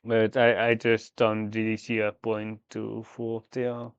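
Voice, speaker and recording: A man live-streaming a game, thinking aloud through his moves in a halting, fragmented way. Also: fairly low pitch, completely flat delivery, clean tone, no room sound, highs cut off. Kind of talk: live mahjong gameplay commentary, thinking aloud.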